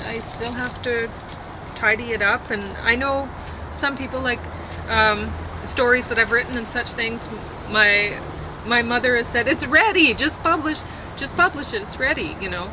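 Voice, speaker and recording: A woman chatting casually, hesitant and rambling, often trailing off mid-thought. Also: moderate pace. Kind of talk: casual chat. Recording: constant traffic and outdoor rumble noise.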